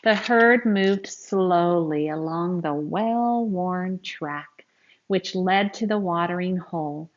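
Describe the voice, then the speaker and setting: A woman reading a picture book aloud to young children in an expressive, teacherly way, speaking to them directly as she goes. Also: on the low side, takes it slowly, highs cut off, a little hiss or hum. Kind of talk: read-aloud storytelling with asides to young listeners.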